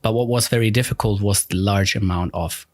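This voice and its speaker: A German man with a fairly low voice hosts a casual podcast chat. He rambles a little, often restarts his sentences and pokes fun at himself. The sound is dry and close, with no room echo. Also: fairly quick. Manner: rambling, self-deprecating, frequent restarts. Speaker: podcast host. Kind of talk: casual conversation.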